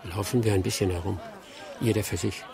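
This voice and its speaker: A man playing a calm, careful aide to an imperial official in a radio drama, composed and explanatory. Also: sits low, fairly quick, gravelly, distant mic, a crowd in the background.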